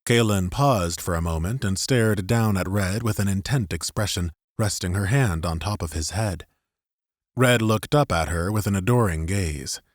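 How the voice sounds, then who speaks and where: Man, low pitch, audiobook narrator, scripted fiction storytelling, dry close studio recording. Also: normal speed, no echo.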